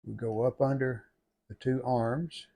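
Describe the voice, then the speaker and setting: Male hobbyist restorer, mid-range voice, plain-spoken and unhurried in tone, casual step-by-step commentary in short phrases. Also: mid-range voice, fairly even intonation, creaky, warm tone. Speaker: hobbyist restorer demonstrating a repair. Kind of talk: casual hands-on how-to narration.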